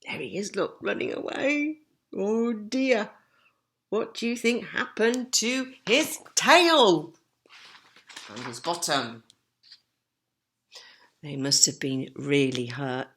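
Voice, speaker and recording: Animated woman reading a children's storybook. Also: low voice, slow, long pauses. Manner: expressive, asking children rhetorical questions. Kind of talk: animated storybook narration with asides to listeners.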